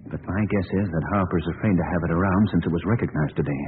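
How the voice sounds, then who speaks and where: An actor playing a confident, composed gentleman detective in 1940s radio style. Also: deep voice, racing, lightly acted. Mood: composed, assured. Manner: composed, assured. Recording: scratchy, tinny 1940s radio recording.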